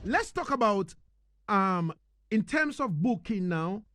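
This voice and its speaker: Male radio host, high voice, very dry sound, effusive slangy interviewing. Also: very animated, takes it slowly, fairly bright tone, no echo. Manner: effusive, slangy, repeats phrases. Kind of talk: casual, enthusiastic radio interview.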